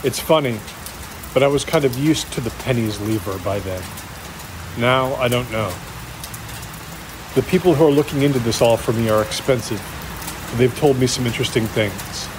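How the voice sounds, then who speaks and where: An actor playing an ordinary man recounting a friend's haunting in a naturalistic documentary interview, halting and conversational, in short phrases with pauses. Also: mid-range voice, background noise, natural voice. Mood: hushed, reflective. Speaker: interview subject in an audio drama.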